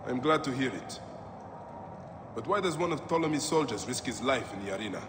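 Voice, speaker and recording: A man's voice: mid-range voice, lively intonation, brisk, close to an everyday voice. A man voicing a stoic, seasoned warrior in a video game, terse and serious. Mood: stoic, guarded. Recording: clear background noise.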